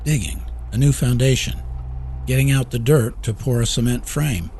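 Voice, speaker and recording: Man acting a desperate, rattled store owner, gravelly. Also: medium pitch, moderate pace, slight background noise, voice pushed up, close to an everyday voice. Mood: anxious, hopeful. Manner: frantic shouting, pleading, later shaky and weary. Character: panicked, desperate small-town store owner, adult man. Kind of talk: audiobook character acting.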